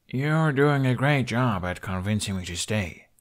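A cynical young man, voiced by a male narrator, dry and wearily sarcastic. His pitch moves freely as he delivers wry lines in an audiobook fantasy tale. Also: animated, a little slow, close to an everyday voice. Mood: wary sarcasm. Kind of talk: audiobook character voice.

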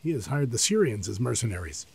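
A man's voice: medium pitch, sing-song, quick pace, creaky, husky, exaggerated voice. A man voicing a jesting soldier scouting an enemy camp. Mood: brash. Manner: joking, brash. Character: jesting male soldier, brash younger brother.

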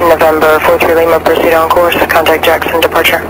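A male tower controller comes over aviation radio with clear engine noise around it. His voice is fairly high for a man and completely monotone. He delivers clipped, formulaic instructions. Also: quick pace. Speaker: air traffic tower controller. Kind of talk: air traffic radio instructions.

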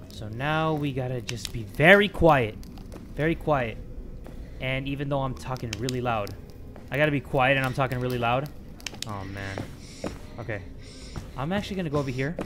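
A young man in a home room on a headset, hosting a horror game playthrough, swinging from loud yelling to hushed talk. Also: on the high side. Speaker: gaming video host. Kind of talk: energetic horror game commentary.

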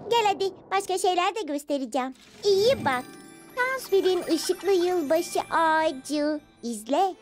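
A woman's voice: extremely high pitch, animated, measured pace, over music. A woman voicing a cute, sweet little girl ghost in a cartoon dub, childlike and playful.

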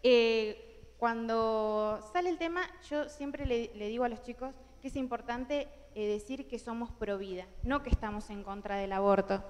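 A woman's voice: fairly high pitch, normal speed. A young woman with an Argentine accent giving an earnest talk to a large audience, with many fillers and restarts. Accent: Argentine Spanish. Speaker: conference speaker. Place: large indoor hall with audience. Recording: hall reverb.

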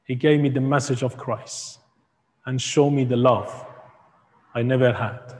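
A man in his thirties from Iran, speaking English with an Iranian accent, giving a halting personal testimony as a guest speaker in a church hall. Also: medium pitch, steady tone, darkish tone. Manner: halting, with many ums and broken grammar. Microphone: far camera. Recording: echoey hall from far camera.

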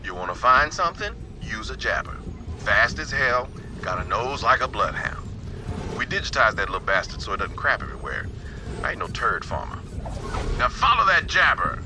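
An actor voicing a wisecracking smuggler in a video game, with a folksy, irreverent, joking manner. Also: male voice, medium pitch, sing-song, normal speed, noisy background, lightly acted. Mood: amused. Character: brash, wisecracking male smuggler and gun-for-hire. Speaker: video game quest giver. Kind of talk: video game character acting.

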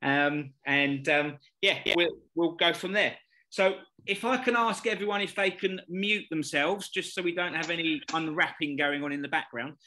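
A man's voice: fairly high pitch, moderate pace, pushed and bright. A man hosting an online session, giving a casual, friendly introduction over a video call. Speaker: host.

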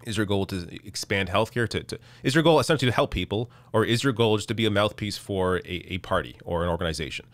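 A man in his thirties hosting a live political stream, reading chat and answering in a casual, halting way while losing his voice. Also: lowish voice, no echo. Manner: casual, halting, many restarts. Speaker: political show host. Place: small dark room, indoors. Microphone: studio mic on arm in front.

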